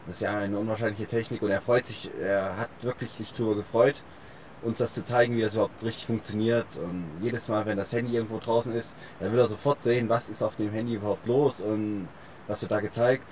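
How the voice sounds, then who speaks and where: A man's voice: lowish voice, steady tone, a little fast, darkish tone. A young man talking casually and colloquially as an interview guest, outdoors. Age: young adult. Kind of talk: casual reminiscing chat. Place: outdoors on a park bench by a forest. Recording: faint outdoor background noise.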